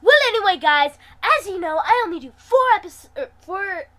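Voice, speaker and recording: Woman, amateur story reader, bright sharp tone, very lively pitch swings, dramatic expressive delivery. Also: moderate pace. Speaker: amateur fan fiction reader, host. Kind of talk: expressive story reading with acted dialogue.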